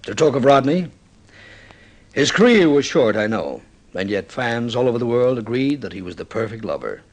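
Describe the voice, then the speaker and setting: An actor playing a middle-aged film director, intense and mournfully insistent, with theatrical, deliberate delivery in vintage radio drama style. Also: male voice, medium pitch, sing-song. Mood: solemn, intent.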